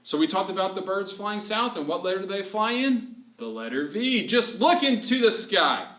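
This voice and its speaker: A man leading a read-aloud lesson for children as a storyteller, animated and encouraging, asking questions and answering them himself. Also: high voice, moderate pace. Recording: roomy echo, distant microphone.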